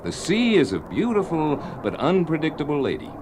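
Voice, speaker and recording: Casting: a steady pilot dad, expressive voice, plane noise behind. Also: male voice, fairly high pitch, brisk. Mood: calm. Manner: calm, fatherly. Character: steady pilot father, middle-aged man. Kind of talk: 1970s radio drama acting.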